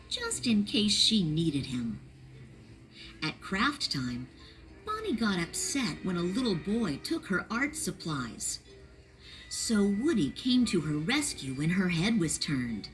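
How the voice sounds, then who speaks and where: A woman narrating a children's read-along storybook in a clear, expressive storytelling manner. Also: on the low side, rough recording.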